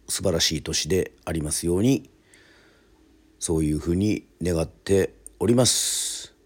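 A man hosting and narrating a radio drama, speaking in polite, formal, measured storyteller phrasing. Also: sits low, darkish tone.

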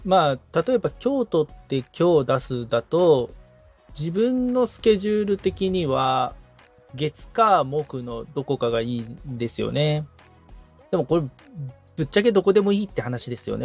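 Higher-voiced, lively man chatting politely. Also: normal speed, faint music behind. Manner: agreeable, thoughtful, checking back with questions. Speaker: podcast assistant being coached. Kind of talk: casual polite conversation.

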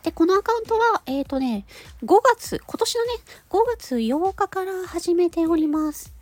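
A woman with a nasal, stuffy, cute anime-like voice chats casually and politely to listeners in short, halting phrases. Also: extremely high pitch, expressive, faint music behind. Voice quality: cute, cartoon-like voice. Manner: polite, giggly, halting phrases ending in a soft filler. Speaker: amateur voice actor hosting a personal audio channel.

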